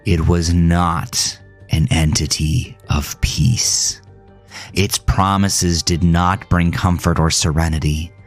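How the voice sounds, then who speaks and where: Deep, gravelly man narrating somber horror. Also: level tone. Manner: somber, dramatic, deliberate phrasing. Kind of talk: first-person horror audio drama narration. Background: music.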